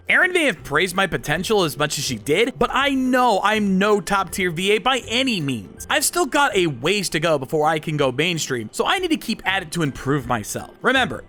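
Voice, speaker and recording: Male host, high bright voice, earnest and encouraging commentary, music underneath. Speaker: video host and aspiring voice actor. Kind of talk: scripted commentary to camera or microphone. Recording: music behind the voice. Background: background music.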